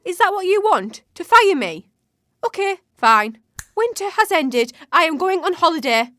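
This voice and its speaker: Woman playing haughty, high-pitched, petulant Winter spirit. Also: sing-song, normal speed, pushed and bright, no echo, clean studio sound, full character voice. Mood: indignant. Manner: snide, defiant, argumentative. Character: Winter, haughty petulant female season, stubborn and sarcastic. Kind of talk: comedic radio drama acting.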